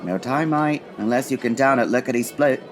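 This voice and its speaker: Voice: medium pitch, brisk, music in the background, natural voice. An actor playing a matey working-class Cockney groom in a comedy audio drama, colloquial in manner. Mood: cajoling.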